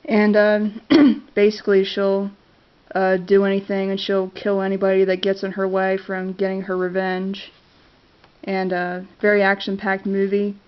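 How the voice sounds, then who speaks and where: Woman vlogger with a cold, monotone, halting and filler-heavy, muffled home-camera sound. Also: medium pitch, smooth. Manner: hesitant, many fillers and restarts. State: congested with a cold, clearing her throat. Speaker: vlogger reviewing favorite movies. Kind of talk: casual talk to camera. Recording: dull lo-fi camera audio.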